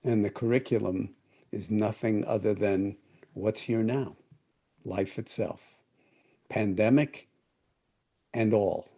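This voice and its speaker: Low, mellow older man teaching. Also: takes it slowly, long pauses, slightly rough. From United States. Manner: thoughtful, rambling with self-corrections. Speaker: meditation teacher. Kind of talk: live-streamed talk to an online group. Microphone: video call.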